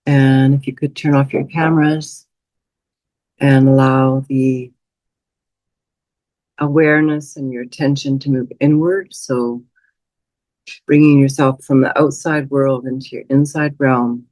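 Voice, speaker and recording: A woman leading a guided meditation as a teacher, speaking in a soothing, unhurried way with long pauses. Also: extremely low pitch, level tone, darkish tone, no echo, rough recording.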